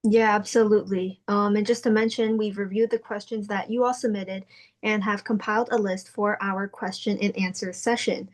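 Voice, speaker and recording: A woman's voice: medium pitch, fairly even intonation, moderate pace. Upbeat young female student moderator.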